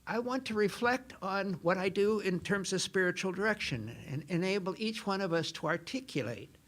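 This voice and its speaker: Soft-spoken older man, chatty priest. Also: high voice, lively intonation, normal speed. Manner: chatty, self-deprecating, frequent restarts. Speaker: Jesuit priest lecturer. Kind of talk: informal spoken lecture. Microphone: microphone.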